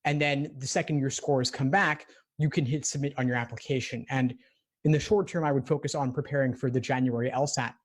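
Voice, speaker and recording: A man coaching law school applicants, giving calm, direct advice in a consultation call. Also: medium pitch, fairly quick. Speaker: admissions coach.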